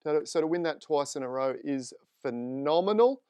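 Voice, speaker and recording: A man's voice: fairly high pitch, expressive, moderate pace, warm tone, clean tone, no room sound. A man with an Australian accent hosting a live stream interview, chatting informally and upbeat. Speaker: host. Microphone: desk microphone.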